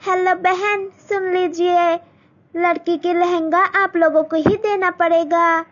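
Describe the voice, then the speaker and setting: A haughty, demanding groom's mother played by a woman narrator gives orders in a high, low-volume voice. She sounds proud and bossy. Dull lo-fi sound. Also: level tone, slow pace. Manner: bossy, commanding.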